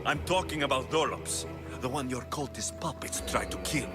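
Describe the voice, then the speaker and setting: An actor playing an indignant young heroic mercenary in a video game, intense and accusing. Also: male voice, mid-range voice, moderate pace, over loud music, close to an everyday voice. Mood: accusing anger. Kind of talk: dramatic video game acting.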